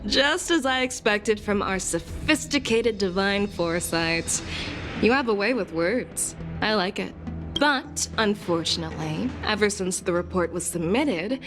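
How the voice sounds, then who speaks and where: Game role: actress as a confident female general, formal interrogator, deliberate delivery, music behind. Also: mid-range voice, natural voice. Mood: composed, assured. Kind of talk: video game story dialogue. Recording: background music under the voice.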